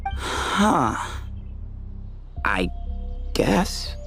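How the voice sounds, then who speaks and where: A man's voice: on the high side, very animated, drawn-out words, creaky, a bit bright, faint music behind, full character voice. A man voicing a laid-back, teasing young temple leader who chuckles and jokes in game cutscene dialogue. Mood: easygoing.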